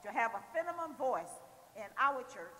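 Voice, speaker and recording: A woman's voice: a little high, sing-song, moderate pace, pushed and bright. A woman in her sixties speaking from the audience at a church panel, giving an emphatic testimony that swells with fervor. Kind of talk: impassioned testimony. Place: large church hall. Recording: roomy hall from far off.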